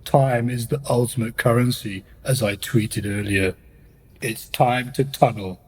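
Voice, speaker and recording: A man's voice: mid-range voice, fairly even intonation, a little slow, slightly rough. A man playing a smug, dismissive tech billionaire bro in a radio play. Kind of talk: radio play comic acting.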